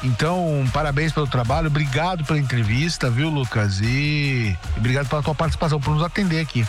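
A man's voice: a little high, quick pace, soft background music. A man with a Brazilian accent hosting a podcast interview, talking with frequent restarts and fillers. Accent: Brazilian Portuguese. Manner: hesitant, many restarts and fillers. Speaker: podcast host. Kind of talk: interviewing a guest.

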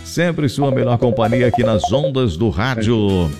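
A man's voice: medium pitch, lively intonation, unhurried. A man with a southern Brazilian accent hosting live radio in a chatty, effusive way, often repeating his phrases. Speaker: radio host. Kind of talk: live radio chat, shout-outs and ad reads. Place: radio studio. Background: music under the voice.

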